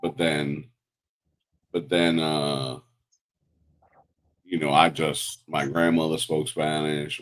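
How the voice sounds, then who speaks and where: A man from Puerto Rico, raised in New York, talking casually and loosely, with many fillers and some swearing. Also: very deep voice. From born in Puerto Rico, raised in New York. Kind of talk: casual conversation.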